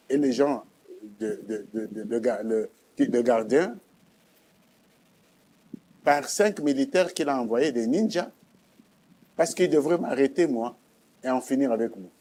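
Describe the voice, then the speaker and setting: Mellow Congolese man giving testimony. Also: medium pitch.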